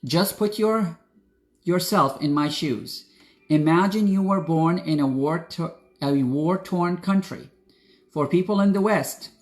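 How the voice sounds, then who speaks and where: Earnest young man giving a pep talk. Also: fairly high pitch. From Iraq. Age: young adult. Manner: earnest, direct, preachy, addresses viewers as guys. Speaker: spiritual coach. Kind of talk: motivational talk to camera.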